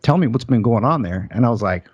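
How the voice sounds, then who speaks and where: A man's voice: mid-range voice, expressive, fast, darkish tone, slightly rough, no room sound, dull audio. A man hosting a conversational interview, asking curious follow-up questions. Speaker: host.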